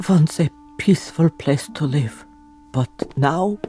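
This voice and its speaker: A performer playing a guarded, sombre German village innkeeper, terse, reluctant and deferential, with quiet disapproval. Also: mid-range voice, takes it slowly, faint music behind, natural voice. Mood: sombre, disapproving. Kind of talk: radio drama acting.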